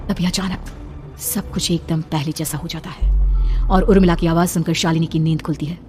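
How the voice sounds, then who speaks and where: A woman's voice: deep voice, steady tone, normal speed, a little mellow, soft background music. A woman narrating a scripted horror cartoon story in a dramatic storytelling style.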